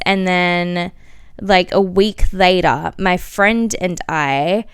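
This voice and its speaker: A young woman telling personal stories casually as a podcast host, in a slangy, self-mocking, animated way. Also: medium pitch, clear, resonant tone. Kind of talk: casual confessional storytelling.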